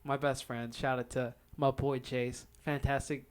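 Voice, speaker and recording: A man's voice: medium pitch, level tone, unhurried, crowd noise. A young man co-hosting a podcast, chatting casually and telling personal stories with frequent laughter. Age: young adult. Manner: giggly, often laughs while talking. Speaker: podcast co-host. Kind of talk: casual chat, personal storytelling.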